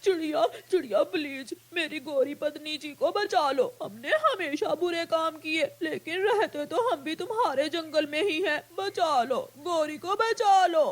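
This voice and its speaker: A woman's voice: high-pitched, a little slow, few pauses. A woman voicing a selfish, whiny male crow husband in a cartoon, in a dramatic manner. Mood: pleading.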